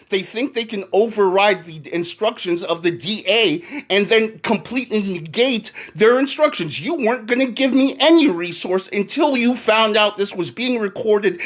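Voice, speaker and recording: A man speaking insistently and confrontationally, as a caller and commentator arguing his case. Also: high-pitched. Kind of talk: argumentative phone call and commentary.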